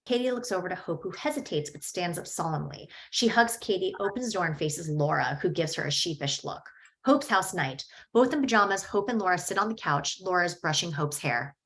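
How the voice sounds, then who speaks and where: A woman reading a screenplay's stage directions aloud at a table read in a plain, measured way. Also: on the low side, expressive, a bit bright.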